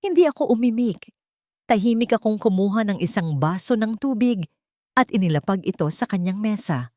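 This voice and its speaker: A woman narrating a scripted first-person drama in a measured, deliberate way. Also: medium pitch, animated, darkish tone, no echo, clean studio sound. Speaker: story narrator.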